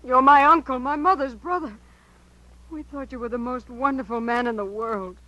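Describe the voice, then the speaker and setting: An American actress playing a frightened yet defiant young niece in a 1950s radio drama, earnest and dramatic. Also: female voice, sits high, normal speed, sharp tone, poor audio. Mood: frightened, accusing.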